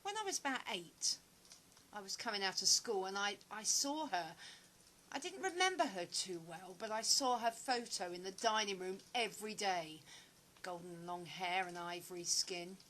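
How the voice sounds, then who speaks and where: Actress as a guarded woman, bright clear-cut voice. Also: medium pitch, lively intonation, normal speed, a little hiss or hum, low-quality recording. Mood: wistful, reminiscing. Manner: hesitant, reserved, reminiscing. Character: guarded, wistful woman living alone, grieving her father. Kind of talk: audio play dialogue.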